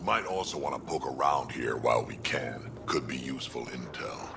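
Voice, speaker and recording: An actor voicing a gruff, weary old male alien warrior in a video game, gravelly, terse and dry. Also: extremely low pitch, animated, moderate pace, background noise, heavily acted. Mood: gruff, practical. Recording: loud music under the voice.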